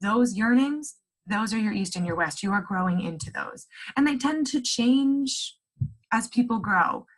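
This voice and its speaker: A woman's voice: fairly high pitch, expressive, a little slow, poor audio. A woman coach leading a workshop, talking earnestly to a group and breaking into bursts of enthusiasm.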